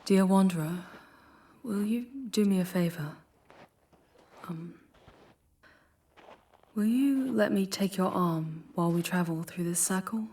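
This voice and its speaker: A woman playing a charming, proud yet tender female demon guide in an ASMR fantasy roleplay, measured and intimate, often dropping to breathy whispers. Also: on the low side, dark tone. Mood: vulnerable, wistful.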